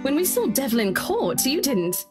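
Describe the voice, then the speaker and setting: A woman voicing a blunt, exasperated female colleague in a visual novel, brisk and reproachful. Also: high voice, very animated, over music, pitched above the performer's own voice.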